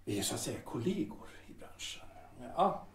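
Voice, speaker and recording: An actor playing a haughty, fussy café owner in a period audio drama, pompous and hesitant in manner. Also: male voice, fairly high pitch, big pitch swings. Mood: smug courtesy. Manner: pompous, hesitant with drawn-out ers. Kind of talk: period audio drama acting, 1930s setting. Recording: roomy, slightly distant microphone.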